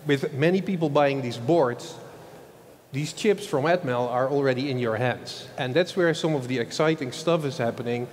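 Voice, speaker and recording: A man's voice: medium pitch, normal speed. Dutch-accented man speaking in a reverberant hall. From Netherlands. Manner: loose, chatty, tags lines with "huh?". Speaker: marketing executive giving a conference talk. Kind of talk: conference presentation. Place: large old event hall. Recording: long hall reverb, faint background noise.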